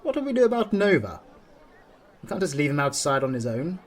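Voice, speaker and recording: A man's voice: on the high side, sing-song, fairly quick. A British man playing a stern, aloof veteran demon hunter in a fantasy audio roleplay, speaking haughtily and formally to the listener. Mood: exasperated. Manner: haughty, clipped, dryly formal.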